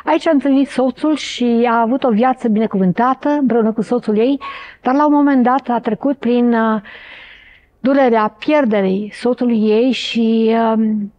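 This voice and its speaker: A woman presenter introducing a guest, speaking warmly and hesitantly, with many small fillers. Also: fairly high pitch, moderate pace. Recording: muffled lo-fi sound.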